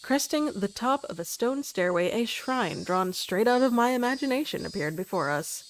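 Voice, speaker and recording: A woman's voice: fairly high pitch, lively intonation, normal speed, a little hiss or hum, poor audio. A woman reading a story game aloud on a live stream, narrating expressively and acting out the characters. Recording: background music behind the voice. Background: game music.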